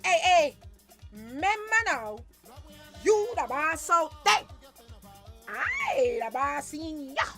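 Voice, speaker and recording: Saucy, teasing woman, Belizean Creole, very high bright voice, drawn-out playful delivery, bold. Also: huge pitch swings, gravelly, soft background music, exaggerated voice. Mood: flirty, bossy. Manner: playful, sassy. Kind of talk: radio drama acting.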